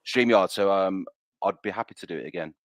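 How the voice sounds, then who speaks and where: A man hosting his own stream, relaxed and casual, filling pauses with ums and yeahs. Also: deep voice, no room sound. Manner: laid-back, lots of ums and yeahs. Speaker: streamer host. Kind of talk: casual chat.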